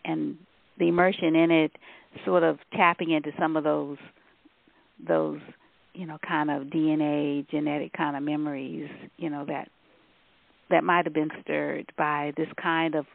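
A woman hosting a radio interview, asking long, halting questions full of fillers. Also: deep voice, level tone, vocal fry, no room sound. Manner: slow, halting, with many fillers. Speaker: radio show host. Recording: tinny, dry phone line.

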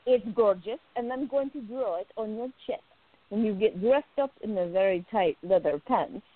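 A woman playing a playful, affectionate young artist in love in a radio play, with a teasing, expressive delivery. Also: medium pitch, normal speed, natural voice. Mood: tender, teasing. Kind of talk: amateur radio play acting. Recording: thin phone-like audio, dry.

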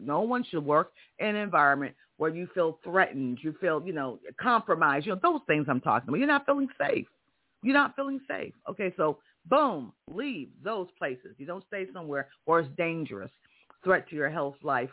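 Man, strong voice, emphatic motivational host, rhetorical questions, phone-line audio. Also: a little high. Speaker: career podcast host. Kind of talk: motivational monologue.

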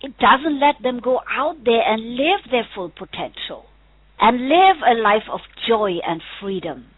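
A woman with a German accent delivering a measured, scripted inspirational monologue. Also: fairly high pitch, lively intonation, slightly rough, dry recording. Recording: dry, phone-like played clip.

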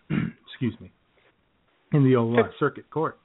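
Phone-like American man telling comic anecdotes. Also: medium pitch. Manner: joking, halting storytelling with throat-clearing. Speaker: radio show co-host. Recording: phone-like, narrow-band sound.

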